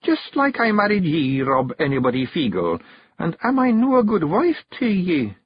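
A male narrator voicing a shrewd young fairy wife and clan mother with a Scottish accent, sweet, measured and quietly menacing. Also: on the high side, huge pitch swings, dull audio, a little hiss or hum, pitched above the performer's own voice. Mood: sweetly stern.

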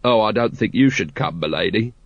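A man's voice: fairly low pitch, lively intonation, fairly quick, gravelly, no room sound, highs cut off. A man voicing an impatient, weary watch captain in an audiobook, terse and dry.

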